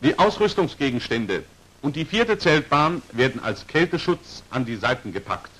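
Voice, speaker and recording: A man's voice: mid-range voice, lively intonation, moderate pace, fairly bright tone, slightly rough, low-quality recording. Formal 1940s training film narrator, man. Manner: formal, precisely articulated, instructional.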